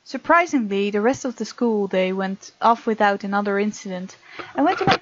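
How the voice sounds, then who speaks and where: A woman's voice: mid-range voice, expressive, a little fast, a little hiss or hum. Chatty woman on a dull mic. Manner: chatty, giggly, reacts aloud to the story. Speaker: game streamer reading a visual novel aloud. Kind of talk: let's play, reading aloud with casual asides.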